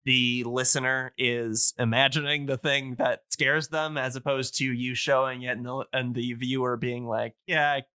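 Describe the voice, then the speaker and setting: Guy on a podcast explaining stuff kinda haltingly, keeps wandering off, clear crisp voice, no room sound. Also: mid-range voice, level tone, sharp tone, no room sound, clean studio sound. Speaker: podcast co-host explaining radio history. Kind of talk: casual podcast discussion.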